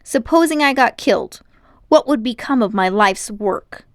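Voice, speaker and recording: A haughty older gentleman magician, acted out by a woman reader, vain and cruel, speaks in grandiose, indignant phrases. The storybook character sounds close and dry. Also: on the high side, animated, normal speed, dry recording. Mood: indignant, boastful.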